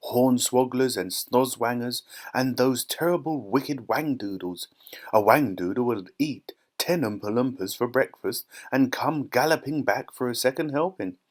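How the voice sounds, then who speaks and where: An eccentric, excitable factory owner from a children's storybook, acted out by a man. He is exuberant and flamboyant. He shows off like a showman and repeats things for emphasis. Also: medium pitch, lightly acted. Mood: dramatic relish.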